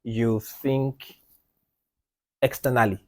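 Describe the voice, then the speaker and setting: A man speaks on a studio podcast, lively and insistent. He swings from raised, emphatic delivery down to a low, hushed voice when driving a point home. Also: slow. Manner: animated and emphatic, repeats "do you understand?"; drops to a low, quiet voice when making a point. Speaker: podcast co-host. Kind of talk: casual group discussion.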